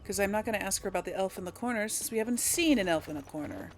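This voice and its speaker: A woman's voice: medium pitch, expressive, rapid-fire, a little hiss or hum. A woman doing casual game commentary and role-playing dialogue choices, musing aloud with little hums. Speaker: gamer narrating a role play series.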